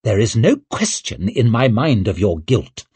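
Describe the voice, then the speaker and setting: A man's voice: fairly low pitch, very animated, measured pace, darkish tone, husky, heavily acted. A man voicing an angry young king in an audiobook, icy, imperious and snapping out commands. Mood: icy judgement. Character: angry young king, cold, imperious, passing stern judgement.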